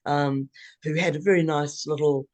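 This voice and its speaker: An older woman, around her seventies, with a New Zealand accent, gives a measured, reflective personal presentation over a video call. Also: low-pitched, steady tone, darkish tone, no echo. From New Zealand, born in England. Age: older, about 70s. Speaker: co-housing founder presenting.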